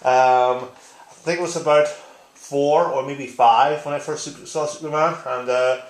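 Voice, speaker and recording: A man talks casually to his viewers in an echoey living room. His pitch sits in the middle range, and his manner is informal and blunt, like someone recording a home vlog. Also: steady tone, a little slow. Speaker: video blogger. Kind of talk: casual tag-response vlog chat.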